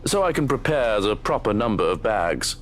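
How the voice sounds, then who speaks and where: A man's voice: fairly low pitch, sing-song, normal speed, slight background noise. A man voicing a menacing, cruelly amused henchman in a visual novel, taunting with mock politeness. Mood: gleeful taunting. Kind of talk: visual novel villain dialogue, read aloud.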